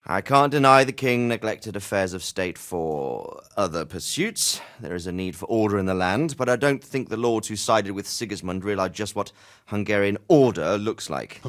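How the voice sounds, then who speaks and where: A man playing a middle-aged medieval Bohemian lord in a video game, formal, authoritative and fatherly, speaking in measured phrases. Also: lowish voice, fairly bright tone. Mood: grave, explanatory. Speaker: nobleman and military leader. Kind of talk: video game dramatic dialogue.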